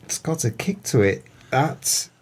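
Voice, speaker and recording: A man acting in an audio play as a crooked swindler, creaky-voiced, florid and melodramatic. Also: mid-range voice, sing-song, measured pace, slight background noise. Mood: guarded. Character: crooked male swindler, mournfully grandiose and evasive.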